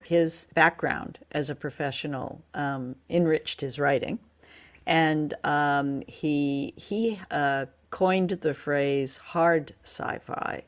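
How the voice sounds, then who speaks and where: A woman's voice: low-pitched, slow pace. A woman co-hosting a podcast, chatting casually and explaining things with hesitant phrasing. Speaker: podcast co-host. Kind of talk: casual review chat.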